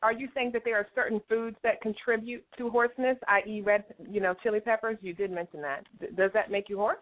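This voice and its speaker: A woman hosting a radio show, bubbly and quick to laugh, asking long questions. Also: mid-range voice, steady tone. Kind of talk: radio interview. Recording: tinny phone-like line.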